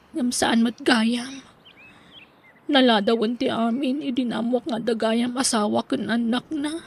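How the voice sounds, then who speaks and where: A woman with an Ilocano accent playing a wistful, heartbroken young woman in a radio drama, hushed and sorrowful with a breathy voice. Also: a little high. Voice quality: breathy, husky.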